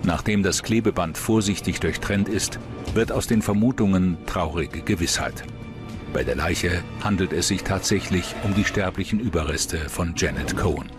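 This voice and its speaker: A man narrating a scripted true-crime documentary in a measured, dramatic manner. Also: low voice.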